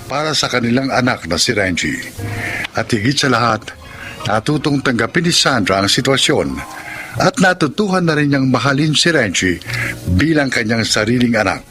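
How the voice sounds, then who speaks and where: A man's voice: mid-range voice, lively intonation, normal speed. A man with a Filipino accent narrating a radio drama anthology in a formal, scripted announcer style. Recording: loud music under the voice.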